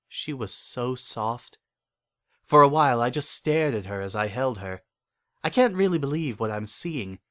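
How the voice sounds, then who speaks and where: A man's voice: medium pitch, a bit bright. Lonely, deluded male confessor for audio drama, acted by a man, mid-range voice, earnest, uneasily intense, intimate first-person storytelling. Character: lonely, obsessive, delusional man confiding his private devotion.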